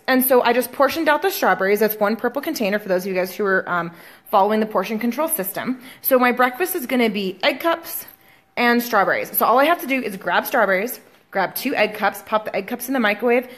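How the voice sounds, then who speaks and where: A woman's voice: fairly high pitch, a bit bright. A young woman, a fitness coach, chatting casually to viewers in a home kitchen, with plenty of ums, filmed on a phone held at arm's length. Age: young adult. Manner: chatty, many fillers, talks to viewers as guys. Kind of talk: casual vlog tutorial. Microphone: phone camera held at arm's length.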